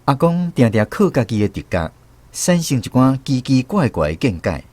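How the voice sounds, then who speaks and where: A man's voice: mid-range voice, very animated, moderate pace, a little mellow, no room sound. A man with a Taiwanese accent narrating a scripted first-person story as a radio drama storyteller.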